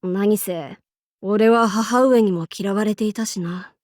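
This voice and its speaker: A woman's voice: medium pitch, slow, a little mellow, dry recording, clean studio sound, close to an everyday voice. A woman voicing a bold, androgynous young demon-king warlord in an anime game, with boastful, archaic lordly speech and playful swagger. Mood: pensive. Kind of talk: anime game character voice lines.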